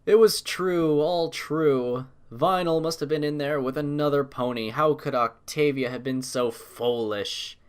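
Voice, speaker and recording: A man's voice: mid-range voice, a bit bright, smooth. A man narrating a scripted story with a dramatic storytelling manner.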